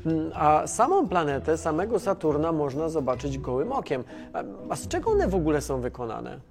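Chatty, caring mission controller. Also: male voice, a little high, big pitch swings, fairly quick, creaky. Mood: curious. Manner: conversational, hesitant, informal. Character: Tomasz, caring, chatty, easygoing male mission controller on Earth. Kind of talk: children's educational audio drama, casual dialogue.

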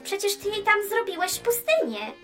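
A woman voicing a chatty, cheerful female space probe who explains science in a children's educational cartoon, teasing and lively. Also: very high voice, normal speed. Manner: bubbly, lively, playfully teasing. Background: background music.

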